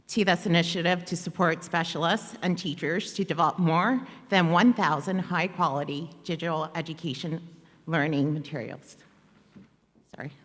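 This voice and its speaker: Woman, official speaker, prepared remarks, fairly low voice, long hall reverb. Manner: measured, formal, with soft um fillers. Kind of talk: reading prepared formal remarks. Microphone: podium microphone.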